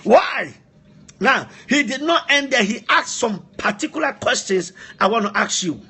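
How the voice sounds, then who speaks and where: A man preaching an impassioned sermon, emphatic and repetitive, driving his points home with rhetorical questions in a husky, rough-edged voice. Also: extremely high pitch, animated, normal speed, sharp tone. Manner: emphatic, repeats phrases, poses rhetorical questions.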